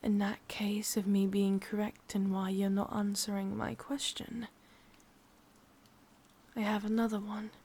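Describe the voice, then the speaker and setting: A woman with an English accent voicing an ancient, lonely, sadistic witch in a breathy half-whispered ASMR roleplay, speaking slowly with drawn-out pauses. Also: mid-range voice, monotone, darkish tone. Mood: probing. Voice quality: breathy, often slipping into whisper. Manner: slow, halting, drawn-out delivery with pauses.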